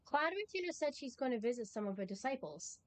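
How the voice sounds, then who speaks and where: A woman game streamer with a fairly high, expressive voice. She chats casually and reads game text aloud on a home stream, with faint noise behind her. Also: fast.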